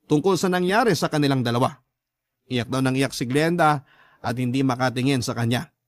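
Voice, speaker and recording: A man's voice: medium pitch, fairly quick, dry recording. A man giving scripted first-person dramatic narration, reading out a love story as a letter sender would tell it.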